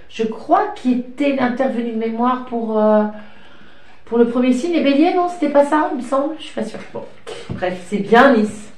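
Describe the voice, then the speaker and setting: A woman reading tarot cards in a rambling, hesitant way with many fillers, stuffy from a cold and coughing now and then, talking fondly to her cat and child. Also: fairly high pitch. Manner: affectionate, talks to her pet and child. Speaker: tarot card reader. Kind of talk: casual card-reading chat. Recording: echoey room, distant mic, light noise.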